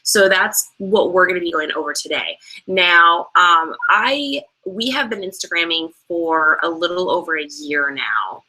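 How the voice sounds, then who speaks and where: A woman's voice: fairly low pitch, animated, measured pace, hardly any pauses, pushed and bright, rough recording. A young woman co-hosting an online sales training, chatting casually and explaining steps with lots of fillers. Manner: chatty, many fillers, laughs easily. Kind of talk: casual instructional talk.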